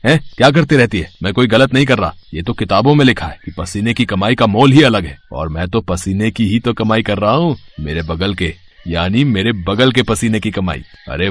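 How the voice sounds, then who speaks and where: A man's voice: fairly low pitch, natural voice. A man voicing a shameless, sly street fritter seller and husband in a cartoon moral story, gloating and dismissive. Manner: gloating, dismissive of his nagging wife.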